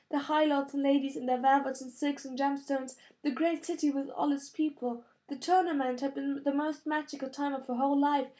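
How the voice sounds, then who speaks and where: A woman's voice: sits high, a little mellow. A woman reading scripted fiction as an audiobook narrator.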